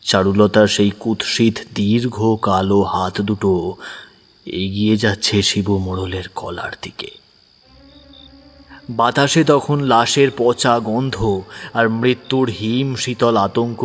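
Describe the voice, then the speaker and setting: Man narrating a ghost story. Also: on the low side. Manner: suspenseful, hushed at times. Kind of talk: dramatic horror narration.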